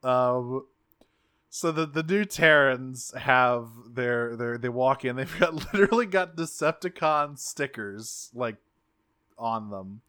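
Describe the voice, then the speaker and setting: A man co-hosting a podcast, recapping scenes in a rambling, excitable way and swearing freely. His voice sits in a middle range and sounds bright and forward. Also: mid-range voice, takes it slowly, clear, resonant tone. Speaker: podcast co-host. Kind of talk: casual chat, recapping an episode.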